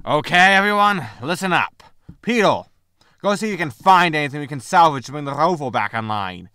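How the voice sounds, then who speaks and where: A man's voice: on the high side, lively intonation, a little fast, pushed and bright, clear, resonant tone, no echo, studio quality. A man playing a bossy, easily exasperated starship captain and pilot in a sci-fi sitcom audio drama. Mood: commanding. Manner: exasperated, brusque. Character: irritable, bossy male spaceship captain and pilot.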